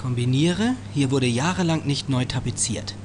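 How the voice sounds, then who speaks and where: A man's voice: mid-range voice, normal speed, close to an everyday voice. A man playing a self-assured teenage boy detective in an adventure game, speaking in knowing, declarative lines. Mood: observant, wry. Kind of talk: scripted point-and-click game dialogue. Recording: game audio with clear noise.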